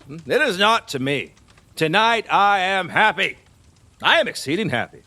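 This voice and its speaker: A man's voice: high-pitched, big pitch swings, normal speed, sharp tone, no echo, full character voice. A man acting a pompous, lecherous, superstitious king in an audio play, grandiose and rambling. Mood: boastful, elated.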